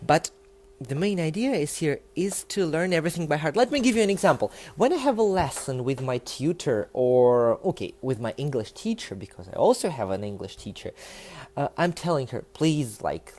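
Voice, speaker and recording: A young man with a Russian accent, a native Russian speaker, talking to the camera in a casual, animated and emphatic way, with many fillers. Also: fairly high pitch. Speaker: language learning vlogger.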